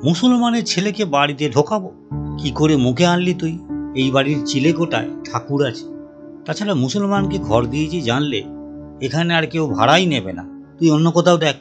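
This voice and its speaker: A man performs a pious, bigoted cartoon landlord, indignant and dismissive. He scolds in a moderately high male voice over faint music. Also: very animated, brisk.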